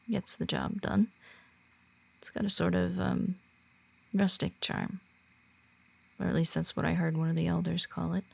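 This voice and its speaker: A woman playing a shy, earnest werewolf who guides a newcomer in a roleplay audio, speaking softly and haltingly. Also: lowish voice, creaky, breathy edge, heavily acted. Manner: hesitant, halting, awkward when personal. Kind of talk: roleplay audio drama, softly spoken to the listener.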